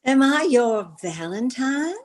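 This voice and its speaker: A woman's voice: high-pitched, expressive, slow. An actress as a flirtatious society lady in a vintage radio comedy. Her voice swoops expressively as she coaxes, gushes and pushes coyly to get her way. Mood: insistent, wheedling. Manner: coaxing, insistent, gushing. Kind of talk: old-time radio comedy acting.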